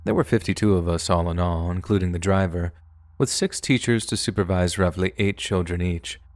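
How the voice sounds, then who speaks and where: A man with a low, deep voice reads scary stories in the first person. His delivery is steady and measured, like a practiced storyteller working through a script. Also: no echo. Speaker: horror story narrator.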